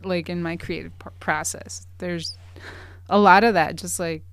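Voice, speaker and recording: A woman talking in a relaxed, reflective way, with lots of fillers. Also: on the low side, a little slow, slight background noise. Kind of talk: casual conversation.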